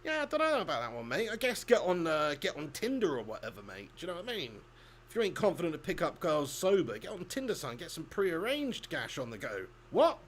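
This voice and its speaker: A man's voice: fairly high pitch, huge pitch swings, fairly quick. A young man hosting a live football watch-along, chatting casually with viewers and laughing often. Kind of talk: casual live commentary reading chat.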